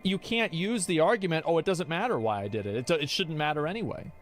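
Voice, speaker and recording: A man's voice: fairly high pitch, animated, fast talker, soft background music. A young man streaming, rambling and arguing his views in casual talk. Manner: rambling, argumentative, restarts often. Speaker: streamer reacting to chat.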